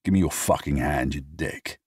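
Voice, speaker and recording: A man's voice: rumbling low, moderate pace, husky, no echo, exaggerated voice. A man voicing a tired male friend who talks in a dry, mildly mocking way.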